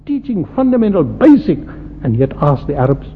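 Preacher in a reverberant hall. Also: male voice, on the high side, very animated, fairly quick, breathy edge. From South Africa. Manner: emphatic, rhetorical questioning and repetition. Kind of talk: impassioned sermon. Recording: muffled, reverberant hall with background noise.

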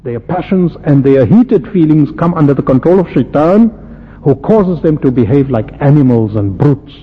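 A man from South Africa preaching a sermon, impassioned, firing rhetorical questions and swinging from loud peaks to soft low asides. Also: expressive, moderate pace, husky. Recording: lo-fi phone-like radio broadcast with faint hiss and long hall reverb.

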